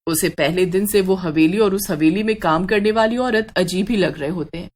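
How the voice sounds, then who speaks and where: A woman reading scripted horror narration as a dramatic, measured storyteller. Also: fairly low pitch.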